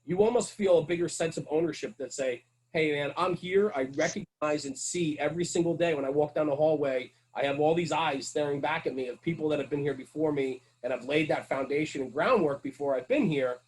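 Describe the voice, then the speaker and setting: A man's voice: on the high side, brisk, few pauses, a little mellow, poor audio. A man speaking as a podcast guest, telling stories conversationally in an earnest, emphatic way with rhetorical check-ins. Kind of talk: conversational storytelling about firehouse culture.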